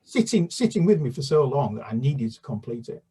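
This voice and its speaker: A man's voice: medium pitch, very animated, brisk, mellow, husky, recorded from a distance. An older man from the UK, a children's author, chatting casually in an interview with many fillers and restarts.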